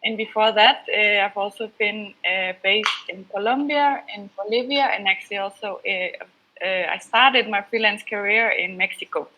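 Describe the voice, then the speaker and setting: Danish woman, bright hesitant speech. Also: medium pitch, fairly even intonation, moderate pace, slight background noise, low-quality recording. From Denmark. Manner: hesitant, many fillers, trailing off softly. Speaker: freelance journalist, panel guest. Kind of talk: self-introduction on a panel. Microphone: video call.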